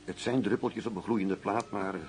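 An actor playing a stubborn, embittered undercover police detective in a radio play, indignant and sardonic in manner. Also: male voice, on the low side, steady tone, normal speed, darkish tone, faint music behind, lightly acted. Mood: weary, stubborn. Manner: indignant, sardonic, plain-spoken. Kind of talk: radio drama acting.

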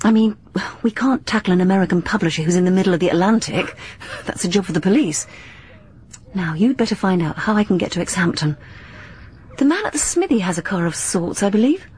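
An actress playing a determined young woman turned amateur detective, speaking crisply and insistently. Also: medium pitch, expressive, brisk, a little mellow.

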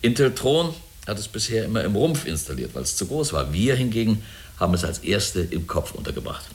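An actor in a German radio drama playing a self-assured company representative who explains things smoothly. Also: male voice, lowish voice, sing-song, brisk. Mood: assured, explanatory. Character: assured male company representative selling humanlike androids.

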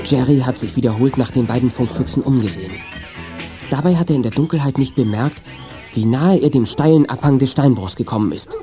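A man narrating a youth detective radio play in a measured, matter-of-fact, scripted manner. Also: mid-range voice, dark tone, faint music behind, lightly acted.

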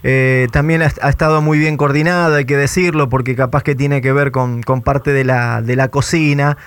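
A man's voice: mid-range voice, steady tone, normal speed, clean tone, no echo. A man with an Argentine accent interviewing a guest on the radio in a conversational style.

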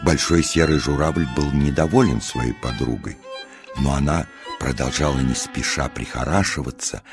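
A man narrating a children's fairy tale as a measured, expressive storyteller. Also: a bass voice. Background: music.

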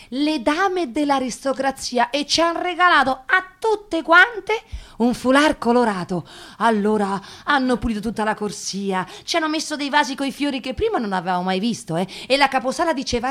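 A woman's voice: on the high side, a bit bright. An actress playing a chatty, girlish young working-class Roman woman in a radio drama, speaking colloquially with a Roman accent. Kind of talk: theatrical radio drama monologue as interview.

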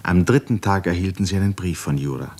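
A man narrating a 1950s German radio drama as a sober storyteller, in a measured, formal literary style. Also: deep voice, darkish tone. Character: sober male storyteller of a tragic novel.

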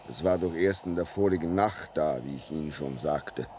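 An actor playing a haunted, subdued railway signalman in a radio play, speaking haltingly. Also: extremely low pitch, warm tone. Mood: haunted, grave. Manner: slow, halting, heavy delivery. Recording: noisy radio drama recording with ambience.

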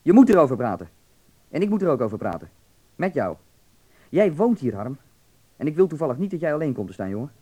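A man's voice: on the high side, sing-song, quick pace, dark tone. An actor playing a worldly young man from Berlin in a radio drama, candid and insistent yet reassuring, explaining patiently and earnestly.

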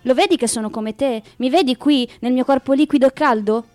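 A woman's voice: high voice, fairly quick, a little mellow, soft background music. A woman performing a scripted theatrical recitation. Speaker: performer in a spoken theatre piece.

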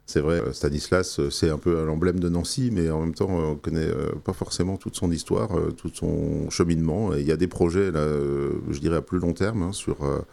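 A man's voice: a bass voice, steady tone, fairly quick, creaky, hardly any pauses, dark tone. A man from France, president of a cultural association, answering radio interview questions with many hesitations and fillers.

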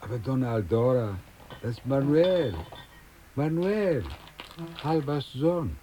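An actor playing an older neighbourhood shopkeeper in a radio drama, familiar, wry and obliging. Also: male voice, medium pitch, animated, slow, warm tone, slight background noise. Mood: helpful.

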